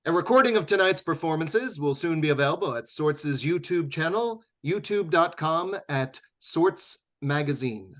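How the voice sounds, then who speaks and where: A man with a slightly high voice serves as the announcer of a live radio drama show. He reads scripted closing announcements in a formal, theatrical manner that recalls old-time radio. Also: animated, moderate pace, few pauses, a bit bright.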